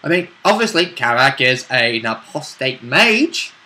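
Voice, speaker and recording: A man chatting casually as a player about a tabletop game, chiming in with animated agreement. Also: mid-range voice, moderate pace. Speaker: player in the role-playing game.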